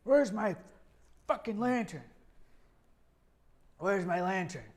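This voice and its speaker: A man's voice: high-pitched, lively intonation, slow, a little mellow. A man performing and hosting a live improv comedy show, playful and fond of repeating a line for laughs.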